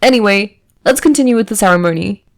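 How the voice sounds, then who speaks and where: A solemn teenage boy acted out by a woman storyteller, sincere and ceremonial. He delivers his words like a formal eulogy, at a middle pitch. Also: lively intonation, fast, natural voice.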